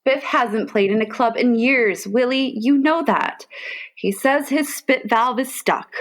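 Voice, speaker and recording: Woman playing a high-voiced, long-suffering wife. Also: measured pace, natural voice. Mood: patient, placating. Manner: gentle, placating. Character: patient, soothing, long-suffering jazz musician's wife, Linda. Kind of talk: comic radio play acting. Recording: noisy remote home recording with clicks.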